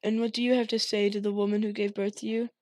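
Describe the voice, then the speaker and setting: A woman's voice: medium pitch, little pitch movement, fast, a little mellow, dry recording, close to an everyday voice. A woman voicing a stern, menacing father who talks in harsh, commanding tones, recorded dry. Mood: coldly calm.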